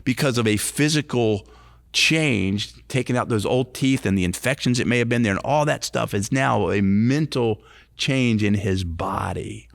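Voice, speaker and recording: A man's voice: mid-range voice, moderate pace, creaky, dry recording, clean studio sound. A man in his late fifties co-hosting a faith-based recovery podcast, talking earnestly and circling back over his phrases. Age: 50s. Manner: earnest, repetitive, restarts phrases. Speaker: podcast co-host, recovery ministry.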